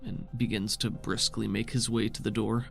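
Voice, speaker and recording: A man's voice: on the low side, level tone, moderate pace, vocal fry, soft background music. A man narrating scenes as the game master of a tabletop roleplaying game.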